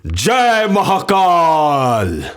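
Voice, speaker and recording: A man voicing a brave, protective, determined hero in an audio drama, speaking with forceful resolve. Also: a little high, huge pitch swings, extremely slow, noisy background, pitched above the performer's own voice, exaggerated voice. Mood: battle cry.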